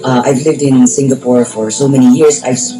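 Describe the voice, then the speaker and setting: A woman's voice: rumbling low, fairly even intonation, a little fast, poor audio. A woman from the Philippines, a life coach and therapist answering radio interview questions, explaining at length with fillers and bits of Tagalog. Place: radio studio. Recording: music playing underneath.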